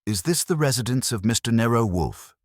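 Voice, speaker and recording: A man's voice: mid-range voice, animated, brisk, a little mellow, no room sound, clean studio sound, voice pushed up, lightly acted. A man voicing an earnest culinary chemist in his late thirties, formal and stiff, in an audio mystery. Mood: guarded, serious. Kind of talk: detective audio drama.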